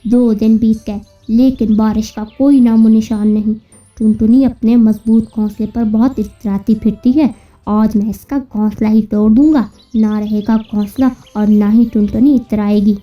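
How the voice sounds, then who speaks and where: A woman's voice: on the high side, steady tone, a little slow, close to an everyday voice. A woman voicing a lazy, dismissive, spiteful male crow in a children's cartoon story. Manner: dismissive, scolding. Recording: muffled, distant-sounding, music behind.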